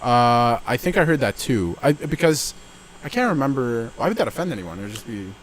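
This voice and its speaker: A man's voice: medium pitch, very animated, fairly quick, a little hiss or hum, low-quality recording. A man in his twenties co-hosting a casual podcast, rambling with many fillers and restarts, recorded outdoors under a backyard gazebo. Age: 20s. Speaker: podcast co-host. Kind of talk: casual chat.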